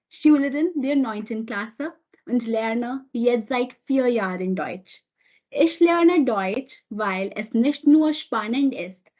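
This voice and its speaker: Husky-voiced schoolgirl giving a formal speech. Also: on the high side. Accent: Indian English. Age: teenager. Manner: formal, recited, bilingual greeting. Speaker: student speaker. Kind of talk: prepared speech read aloud. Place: school auditorium. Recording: dull lo-fi audio.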